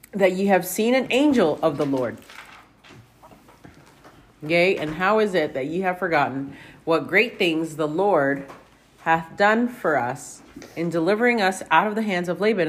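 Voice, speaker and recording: A woman reading scripture aloud in a plain style, as a family member taking a turn. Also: on the low side.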